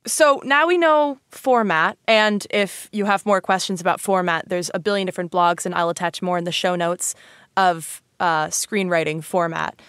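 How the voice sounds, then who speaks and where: A woman's voice: lowish voice, normal speed, a bit bright, clear, resonant tone, no room sound, clean studio sound. A woman hosting a podcast in a casual, chatty way, playful and self-deprecating, often saying "like". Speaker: podcast host.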